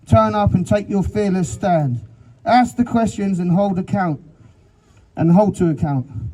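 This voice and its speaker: A man's voice: high voice, poor audio. A man reciting a rhyming poem to a crowd at a protest rally. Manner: steady rhythmic recitation.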